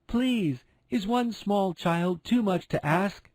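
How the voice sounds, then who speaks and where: Guy putting on a gentle lonely mom from a storybook, high and a bit husky. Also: takes it slowly, no echo. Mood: pleading. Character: kind lonely woman, tender loving new mother. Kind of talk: storybook character voice.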